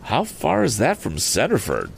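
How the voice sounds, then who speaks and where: Man reading as an earnest, lively young fellow. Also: medium pitch, normal speed, vocal fry, a little hiss or hum, pitched above the performer's own voice, full character voice. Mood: urgent, earnest. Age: young adult. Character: earnest, plucky young fellow, robbed and stranded.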